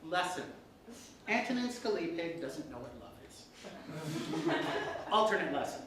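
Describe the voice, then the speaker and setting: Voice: medium pitch, sing-song, unhurried. A person telling scripted comic fairytales live, with theatrical delivery and frequent asides. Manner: theatrical, often breaking into laughter and asides. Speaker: storyteller performing comic fairytales. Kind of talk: live comedic storytelling, scripted narration. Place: live performance in a room with audience. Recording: echoey live room, distant mic, background noise.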